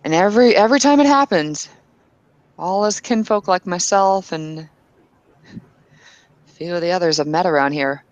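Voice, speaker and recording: A woman's voice: lowish voice, normal speed, pauses often, no echo, dull audio. A woman playing a tabletop roleplaying game, speaking in character, earnestly and with some hesitant restarts.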